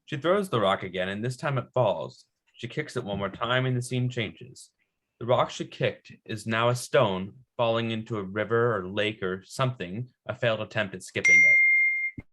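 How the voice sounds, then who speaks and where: A man's voice: fairly low pitch, moderate pace, pushed and bright. A man narrating script directions at a table read. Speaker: stage directions reader.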